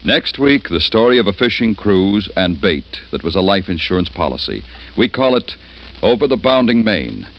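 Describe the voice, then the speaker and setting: A man's voice: low-pitched, very animated, moderate pace. A man announcing in a formal, scripted 1950s radio style. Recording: dull vintage radio broadcast with loud music.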